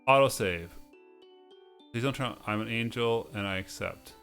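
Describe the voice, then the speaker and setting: Male let's play host, middle pitch, reads game text aloud with the odd stumble, music behind. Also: normal speed, fairly bright tone. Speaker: host of a video game playthrough series.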